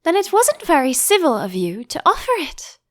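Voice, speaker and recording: Woman playing a high-pitched, prim little storybook girl. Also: huge pitch swings. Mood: indignant. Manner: prim, quick to object. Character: indignant, curious, proper young girl in storybook.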